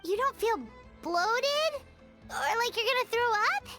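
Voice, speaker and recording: Super high squeaky little game fairy, bouncy and boastful, swoops everywhere, music behind. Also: female voice, animated, a little slow, fairly bright tone, exaggerated voice. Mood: incredulous. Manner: refers to self in third person, exclamatory. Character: tiny childlike excitable fairy companion, gluttonous, boastful. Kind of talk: video game cartoon voice acting.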